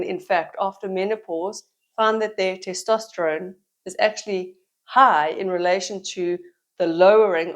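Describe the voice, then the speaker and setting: A middle-aged woman explains something to the camera, her voice a little croaky from a head cold. She leans on key words and repeats them for emphasis, in a warm, steady teaching manner. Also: medium pitch. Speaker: women's health educator.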